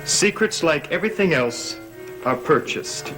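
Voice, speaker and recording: An actor playing a scheming young stepson in a gothic soap opera, formal, measured and insinuating, with quiet menace. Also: male voice, a little high, expressive, low-quality recording. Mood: coolly threatening. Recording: old TV soundtrack with dramatic music.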